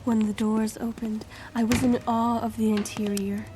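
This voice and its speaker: A young woman playing a wary, homeless heroine in her twenties, telling her story softly and with measure in an audio drama. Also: on the high side, level tone, moderate pace, very noisy, close to an everyday voice. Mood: reflective. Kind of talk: first-person audio drama narration and dialogue.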